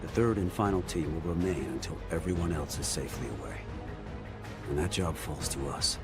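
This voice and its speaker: Really deep solemn mercenary captain, fantasy game cutscene, measured and formal, loud music behind. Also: male voice. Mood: commanding, solemn. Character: grave, resolute male mercenary brigade leader, measured and honorable. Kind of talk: dramatic video game cutscene acting.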